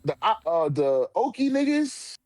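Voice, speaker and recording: A man co-hosting a casual discussion, talking in profane slang and often repeating phrases haltingly as he goes. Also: high-pitched, sing-song, very noisy. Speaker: co-host of a manga discussion. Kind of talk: casual chat.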